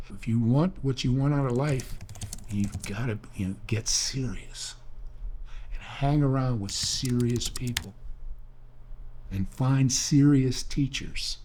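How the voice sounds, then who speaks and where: A man's voice: mid-range voice, a little slow, a little mellow, slight background noise. An older man, an author, telling rambling, earnest stories in a reflective talk, often restarting his sentences. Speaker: author and speaker.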